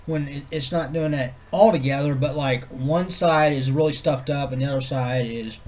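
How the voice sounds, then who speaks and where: A man's voice: normal speed, a little mellow. Stuffy-nosed man rambling with a cold. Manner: low-key, meandering, loose swearing. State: congested with a head cold, nasal. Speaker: vlogger. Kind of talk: rambling casual chat to camera.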